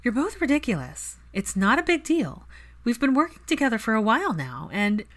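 A woman's voice: fairly high pitch, very animated, fairly quick, fairly bright tone, voice pushed up. A woman voicing a guarded, easily flustered young woman in an audiobook, speaking in an earnest and hesitant manner. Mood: defensive.

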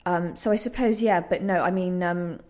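Female playwright being interviewed, slightly low voice, reflective and hesitant conversational delivery. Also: steady tone, moderate pace, a little mellow. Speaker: playwright and trained actor interviewed.

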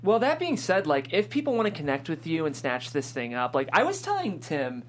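A man's voice: on the high side, wildly swooping pitch, a little fast, a little hiss or hum. A man hosting a casual panel talk show, speaking with an enthusiastic manner. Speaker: host of a table-read show.

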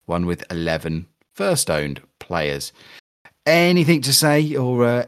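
Chatty podcast host, lowish voice. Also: male voice. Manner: chatty, upbeat, reads sponsor plugs. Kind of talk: casual podcast chat about a football video game.

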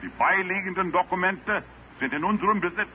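An actor playing an agent in a 1940s radio drama, announcing in a clipped, formal way. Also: male voice, high-pitched, brisk, close to an everyday voice. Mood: urgent, official. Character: German Gestapo agent secretly broadcasting to Berlin. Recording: noisy, tinny old radio recording.

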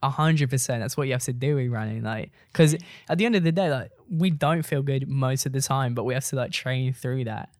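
A man's voice: mid-range voice, brisk, few pauses, no echo. Young man, dry close sound. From Iceland. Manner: relaxed, laughs while telling stories. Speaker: co-host, runner. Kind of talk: casual chat.

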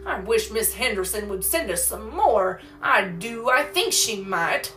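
A woman voicing a hungry, sturdy young boy in a storybook, indignant and plaintive, with drawn-out, halting delivery. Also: medium pitch, animated, bright, forceful tone, faint music behind.

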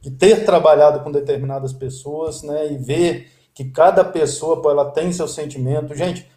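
A man in his fifties from Brazil, a guest telling stories in a relaxed, chatty way. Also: on the high side, normal speed, echoey room. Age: 50s. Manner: storytelling, lively and chatty. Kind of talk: casual interview chat.

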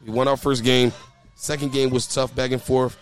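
A hoarse man hosting a casual talk show, often stammering and repeating his words as he speaks outdoors. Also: mid-range voice, level tone, moderate pace. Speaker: podcast host and youth basketball coach. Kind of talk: casual chat. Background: faint background music.

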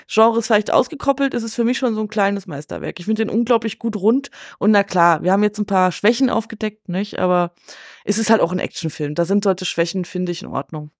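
A woman's voice: mid-range voice, no room sound, studio quality. A woman chatting casually about films as a podcast guest, colloquial and often ending sentences on question tags.

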